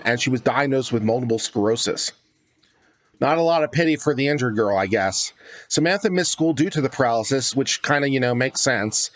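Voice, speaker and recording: A man's voice: fairly high pitch, dry recording. A man hosting a legal commentary channel, reading a document aloud and breaking off into casual, sardonic asides and rhetorical questions. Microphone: close studio microphone.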